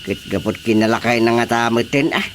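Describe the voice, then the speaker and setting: A man's voice: medium pitch, a little fast, lightly acted. A man playing an easygoing village husband and father with an Ilocano accent in a radio drama comedy. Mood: unhurried. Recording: faintly noisy radio drama track.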